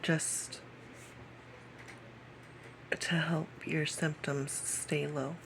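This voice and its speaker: A woman in her late thirties talking personally to camera in a halting way, repeating phrases and sometimes dropping to a whisper. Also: low voice, flat delivery, creaky, noisy background. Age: late 30s. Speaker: vlogger.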